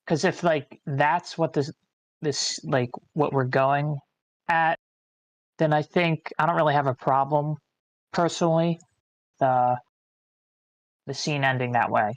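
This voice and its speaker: A woman's voice: extremely low pitch, dry recording, muffled recording. A woman giving casual, rambling, unsure feedback off the cuff in a group discussion. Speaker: table read participant giving feedback. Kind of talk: casual chat, off-the-cuff critique.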